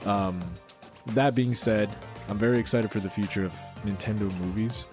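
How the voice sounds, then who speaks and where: A man's voice: lowish voice, fairly even intonation, normal speed, over music. A man co-hosting a podcast in casual chat, blunt and opinionated, with frequent swearing. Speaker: podcast co-host. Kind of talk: casual pop culture chat.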